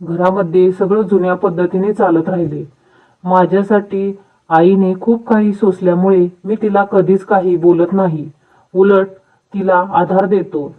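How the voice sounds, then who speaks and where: A man's voice: sits high, fairly even intonation, a little slow. Casting: man as reasonable, advising young husband for story dub; distant, phone-like sound. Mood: earnest, explanatory. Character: devoted, reasonable young husband, protective of his mother. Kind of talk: animated moral story dubbing.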